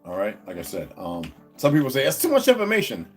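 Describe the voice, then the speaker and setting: A man speaking at a middle pitch rambles on to his viewers from his room at home, stopping and restarting his sentences. Some soft guitar music plays faintly behind him. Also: huge pitch swings, quick pace. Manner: meandering, restarts, talks to his dogs in a high coaxing voice. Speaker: vlogger. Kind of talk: rambling casual vlog to viewers. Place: indoors at home.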